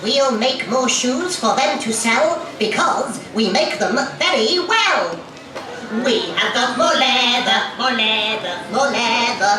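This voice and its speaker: A woman playing a small, excitable, kind elf in a children's pantomime, sing-song and gushing. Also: fairly high pitch, takes it slowly. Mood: kindly, sing-song. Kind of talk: children's pantomime puppet show. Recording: very echoey theatre, distant, crowd.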